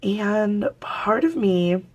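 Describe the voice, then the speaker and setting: A woman with a hoarse voice from a lingering cold, chatting casually to viewers as she decorates a planner. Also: mid-range voice, animated, drawn-out words, a little mellow, highs cut off. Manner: chatty, talks to viewers while working. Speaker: planner vlogger. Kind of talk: casual crafting chat.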